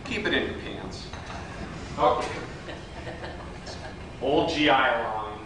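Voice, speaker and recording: A man's voice: mid-range voice, expressive, takes it slowly, very noisy. Man, workshop leader, playful storyteller, echoey hall, distant mic. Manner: playful storyteller, teases audience members. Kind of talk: live workshop talk with jokes. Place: large hotel meeting room. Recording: echoey room recorded from far off.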